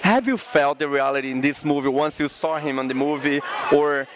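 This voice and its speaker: Man, 30s, event interviewer, handheld mic, rambling eager questions, somewhat high voice. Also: fairly quick, background noise. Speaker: red carpet interviewer. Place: indoor cinema event, in front of a backdrop.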